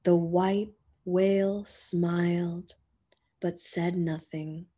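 A woman teacher reading a children's picture book aloud in a gentle storytelling manner, sometimes dropping to a whisper, recorded on a webcam in a small indoor room. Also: on the low side, fairly even intonation, slightly rough. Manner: slow, gentle storytelling with soft whispered passages. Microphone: webcam on a video call.

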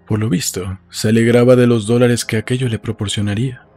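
A man's voice: medium pitch, fairly even intonation, a little fast, dark tone. A man reading a literary audiobook as a scripted narrator. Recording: music behind.

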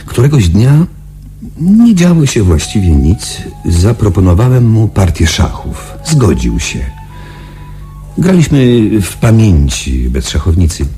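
Low, mellow voice of a shaken pilot. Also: male voice, very animated, soft background music. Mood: tense, hushed. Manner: confiding, halting, dramatic storytelling. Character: shaken young male space patrol pilot recounting his ordeal. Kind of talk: radio drama acting.

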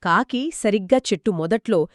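Female storyteller for kids' tales, expressive co-host, dry close studio sound. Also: mid-range voice, moderate pace, dry recording. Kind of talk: scripted children's storytelling in dialogue.

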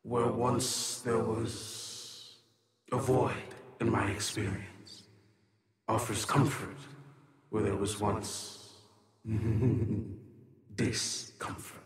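A man voicing an aged, troubled woman mystic in a fantasy game, halting and wistful, with an echoing reverb. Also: fairly low pitch, flat delivery, voice pushed down. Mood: solemn, wistful. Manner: slow, halting, brooding. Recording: roomy voice with long reverb.

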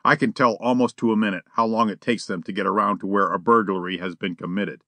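A man reading as a relaxed, slang-talking burglar in an old-fashioned storybook. He rambles in a casual, cheeky way. His voice is bright, with a dry, close sound. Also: lowish voice, fast, dry recording. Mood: easygoing.

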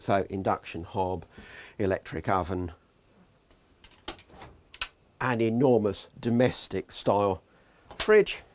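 An older presenter with a lively, up-and-down voice and a wry, dry sense of humour. He strolls through a large indoor exhibition hall, talking as he goes on a clip-on mic. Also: on the low side, a little hiss or hum. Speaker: motorhome reviewer. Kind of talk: presenting a walkaround video.

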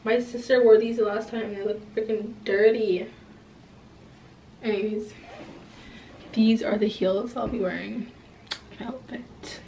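A woman's voice: on the high side, steady tone, unhurried, long pauses, dark tone, slight background noise, poor audio. Chatty young woman losing her voice. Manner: chatty, says "like" and "oh my gosh" often. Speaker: vlogger. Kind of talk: casual vlog chat. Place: bedroom, then inside a car. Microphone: handheld camera at arm's length.

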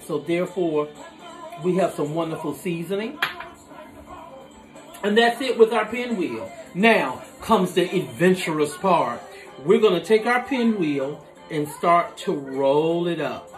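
A man in his forties hosting a home cooking demonstration, explaining each step in a relaxed, instructive way as he works at his kitchen counter. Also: fairly high pitch, reverberant. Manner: relaxed, instructive, talks while working with his hands. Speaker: cooking show host. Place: home kitchen with dining room behind, indoors.

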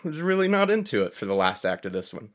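A man casually discussing films on a podcast, telling things in an animated way and acting out his own reactions. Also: lowish voice, quick pace. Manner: animated storyteller, acts out his reactions as quotes. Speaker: podcast guest or co-host.

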